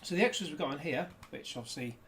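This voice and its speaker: A man's voice: on the high side, animated, quick pace, fairly bright tone, slight background noise. A man chatting casually through a disc unboxing, rambling with jokey asides, recorded indoors on a desk camera. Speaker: disc reviewer.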